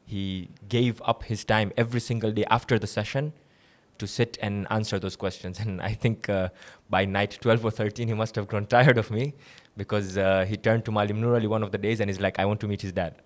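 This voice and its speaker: A man's voice: lowish voice, normal speed. A young man from Tanzania, a seminary student, telling his story as a guest in a casual interview with easy laughs. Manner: relaxed storytelling with light laughs.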